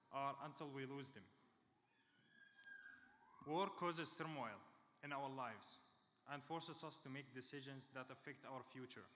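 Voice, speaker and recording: A man's voice: medium pitch, bright, forceful tone, slight background noise. Young man giving a graduation speech. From Syria. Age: 20s. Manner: earnest, reading from a script. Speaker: graduation speaker. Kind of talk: prepared speech. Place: large hall. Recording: reverberant hall with faint ambience.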